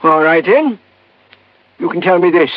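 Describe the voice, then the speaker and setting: A man's voice: fairly high pitch, lively intonation, measured pace. A man playing a meek, folksy, hesitant older American husband in a 1950s radio drama. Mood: suspicious. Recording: thin phone-like old radio recording.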